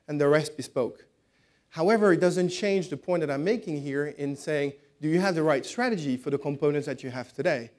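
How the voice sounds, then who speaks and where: A man's voice: on the high side, a little mellow. Earnest man with a raised voice. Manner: earnest, insistent. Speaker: conference speaker. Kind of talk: closing a presentation. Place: conference stage.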